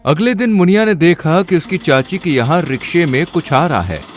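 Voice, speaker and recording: A man's voice: a little high, moderate pace, faint music behind. Man narrating a moral tale. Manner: steady, storytelling. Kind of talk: scripted storybook narration.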